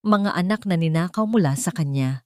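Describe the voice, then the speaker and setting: Female narrator for romantic melodrama, lowish pitch, emotive and deliberate, dry studio sound. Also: animated, dry recording. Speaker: story narrator. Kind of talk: dramatic scripted narration of a love story.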